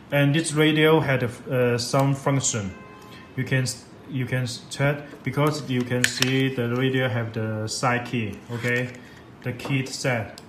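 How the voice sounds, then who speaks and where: A man giving a step-by-step software tutorial in halting, repetitive English. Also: medium pitch. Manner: halting, repetitive non-native English phrasing. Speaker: product demonstrator. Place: indoors at a laptop on a desk. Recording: echoey room with clear background noise.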